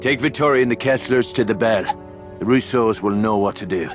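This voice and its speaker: A man voices a gruff Irish action-game hero, stubborn and protective. His voice is on the low side, with an Irish accent and blunt, informal phrasing. Soft music sits underneath. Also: a little fast, natural voice. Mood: stubborn, determined. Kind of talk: dramatic video game acting.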